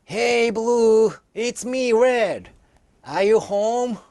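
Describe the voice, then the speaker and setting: A man's voice: a little high, sing-song, slow, dry recording, clean studio sound, heavily acted. A man voicing a kind-hearted male red orc who worries about his friend and calls out after him. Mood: calling. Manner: pleading, calling out loudly.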